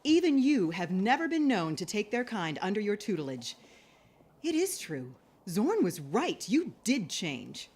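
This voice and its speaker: A woman playing a suspicious, world-weary shopkeeper with a husky voice and pointed, dramatic delivery. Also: medium pitch, sing-song, a little slow, a little mellow. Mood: contemptuous.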